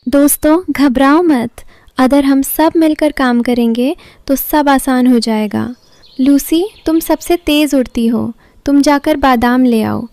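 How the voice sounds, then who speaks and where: A woman's voice: sits high, slow pace, a little mellow, no room sound. A woman voicing a kind mother bird who organises everyone in a cartoon story. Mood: encouraging.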